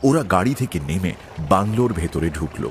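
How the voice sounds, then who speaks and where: A man's voice: sits low, lively intonation, a little fast, slight background noise. A man narrating a scripted audio story.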